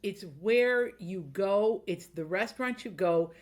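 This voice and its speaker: A woman's voice: mid-range voice, unhurried. A woman hosting an interview, effusive and enthusiastic toward her guest. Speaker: host. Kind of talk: interview conversation.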